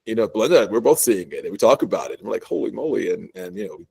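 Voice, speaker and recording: A male company co-founder being interviewed on a podcast, talking with excitement and tripping over his words in his enthusiasm. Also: fairly low pitch, quick pace, gravelly, slightly rough, no room sound. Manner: excited, enthusiastic, stumbling restarts.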